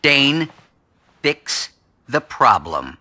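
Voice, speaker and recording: A man's voice: medium pitch, sing-song, very slow, pushed and bright, heavily acted. A man playing a stern, disapproving corporate board member in a video game, formal and pointed. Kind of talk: game cutscene acting.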